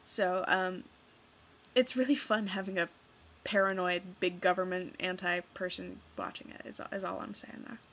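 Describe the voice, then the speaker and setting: A woman's voice: fairly low pitch, fairly even intonation, normal speed, a bit bright. Chatty young woman from Kansas. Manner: chatty with hesitant fillers. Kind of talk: casual voice blog, reading a book aloud.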